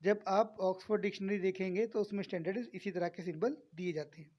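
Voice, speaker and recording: A woman with an Indian accent teaching an English lesson, explaining step by step with deliberate, drawn-out enunciation. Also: medium pitch, steady tone, darkish tone.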